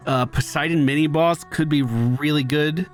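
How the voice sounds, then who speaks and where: A man's voice: on the high side, fairly even intonation, moderate pace, soft background music. A man giving casual gameplay commentary as host of a gaming video. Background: video game sounds.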